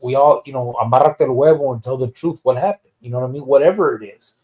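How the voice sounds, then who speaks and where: A man's voice: mid-range voice, quick pace, darkish tone. Male live podcast host from the United States, streetwise and blunt, casual and profane, with slang mixed in. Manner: slips Spanish slang into English. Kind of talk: live stream casual chat, reading out viewer comments.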